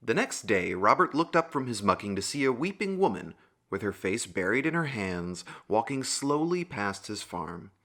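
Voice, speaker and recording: A man's voice: on the low side, big pitch swings, normal speed, fairly bright tone. A man narrating a scripted fairy tale for a puppet show in a theatrical storyteller manner.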